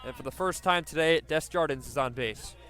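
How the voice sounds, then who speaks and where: A man's voice: fairly high pitch, brisk, fairly bright tone. A man doing live radio baseball play-by-play, describing the action and working in stats as he goes. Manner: descriptive, stat-laden delivery.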